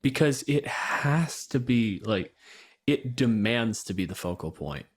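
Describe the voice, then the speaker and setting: A man's voice: mid-range voice, lively intonation, unhurried, husky. A man hosting a podcast, discussing games casually with emphatic phrasing. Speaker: podcast host.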